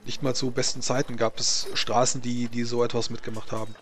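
Even-toned man, halting in-character chat. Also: medium pitch, a little hiss or hum. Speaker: tabletop roleplayer in character. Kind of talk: improvised in-character fantasy roleplay chat.

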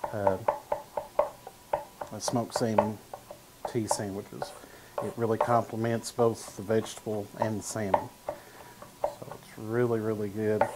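A man's voice: fairly low pitch, noisy background. A man in his thirties hosting a cooking show, demonstrating a recipe in a studio kitchen in an easygoing way with frequent "uh"s. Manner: easygoing, talks while stirring and slicing, frequent "uh" and "gonna". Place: home-style studio kitchen, indoors.

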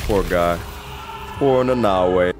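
A man's voice: deep voice, slow, over music. A young man giving casual, profane gameplay commentary, slangy and exclamatory. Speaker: gaming streamer. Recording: voice over loud game audio.

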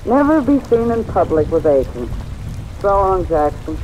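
An actress playing a sardonic, boozy former stage star with an arch, theatrical drawl in an old-time radio drama. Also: female voice, fairly low pitch, big pitch swings, moderate pace, background noise. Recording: old radio broadcast under loud rain.

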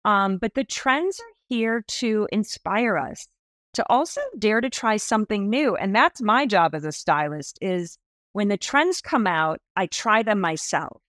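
A woman in her fifties, a stylist being interviewed on a podcast over a video call, telling stories with lively enthusiasm. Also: mid-range voice, unhurried, no echo, studio quality. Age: 50s. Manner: animated, enthusiastic storytelling with expressive hand gestures. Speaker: guest stylist. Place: small indoor room.

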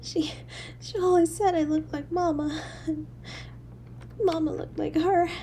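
Starving scared little match girl for audio drama, slow halting pleading, really high voice, shivery and teary. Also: steady tone, warm tone, slight background noise. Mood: wistful, sad. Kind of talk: dramatic audio story monologue.

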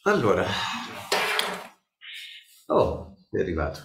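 A man's voice: low voice, wildly swooping pitch, slow pace, creaky, heavy background noise. A middle-aged man with an Italian accent speaks informally as a spiritual teacher leading a devotional class over a video call. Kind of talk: informal religious talk. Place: small indoor temple room. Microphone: video call, a little way from the device. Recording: echoey room on video call.

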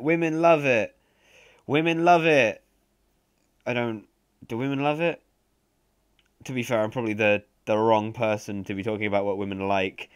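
A young man with a stuffy nose giving sarcastic, sweary, chatty commentary over a video game. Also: medium pitch. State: congested. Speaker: let's play commentator. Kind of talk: casual gameplay commentary.

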